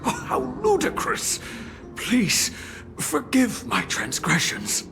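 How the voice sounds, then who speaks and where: Devout priest, slow n solemn, really swoops around, game dialogue. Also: male voice, sits high, huge pitch swings, gravelly, heavily acted. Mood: shocked, contrite. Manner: solemn, formal, dramatic. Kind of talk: video game voice acting. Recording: loud game music underneath.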